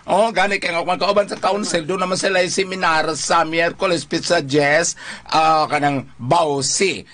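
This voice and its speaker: A man's voice: fairly high pitch, steady tone, unhurried, fairly bright tone. A man with a Visayan Filipino accent, giving casual advice as a talk show co-host.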